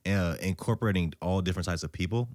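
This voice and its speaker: A man's voice: deep voice, quick pace, darkish tone, dry recording, clean studio sound. A man hosting a podcast, chatting in a loose, laid-back way with plenty of "like" and some swearing. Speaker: podcast host. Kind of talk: casual podcast chat.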